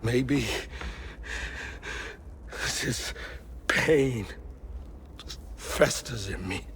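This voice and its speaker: An actor playing a grieving, guilt-ridden man with a Southern US accent, speaking haltingly in a video game cutscene. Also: medium pitch, a bit bright, slight background noise. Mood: ashamed, regretful. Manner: halting, heavy, confessional. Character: grieving, haunted man burdened by guilt and shame.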